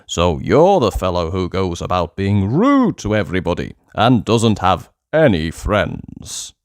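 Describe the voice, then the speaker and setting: A man's voice: low voice, sing-song, measured pace, no echo, pitched below the performer's own voice, heavily acted. A regal goblin king who speaks grandly and sternly, as a storybook reader plays him. His voice rises and falls widely, and the sound is dry and close.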